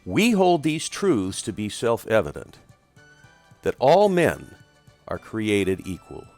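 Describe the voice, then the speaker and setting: Solemn man reading with sweeping, dramatic pitch. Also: huge pitch swings, a little mellow. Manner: solemn, emphatic, measured phrasing. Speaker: reader of a historical document. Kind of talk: dramatic oratorical reading of a formal document. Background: music.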